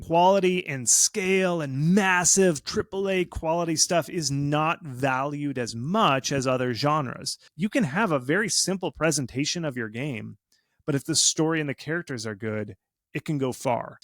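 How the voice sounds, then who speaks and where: A man giving casual, animated explanations as an expert guest in an interview, speaking informally and with emphasis. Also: medium pitch, no room sound, clean studio sound. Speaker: video game marketing expert, interview guest.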